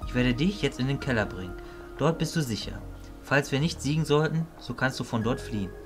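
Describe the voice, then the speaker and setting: Man acting as calm fatherly mage, medium pitch, serious, composed, audio drama, faint music. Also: brisk, natural voice. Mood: calm, firm. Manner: composed, matter-of-fact. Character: Lombus, calm, grave fatherly male mentor mage.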